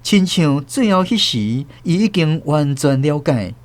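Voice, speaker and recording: Expressive male radio drama narrator. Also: on the high side, unhurried, no echo. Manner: expressive storytelling. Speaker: host and narrator.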